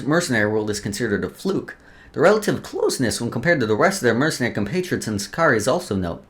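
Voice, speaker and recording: A man hosting a let's play, reading game lore aloud with casual asides and often stumbling over words before restarting. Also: medium pitch.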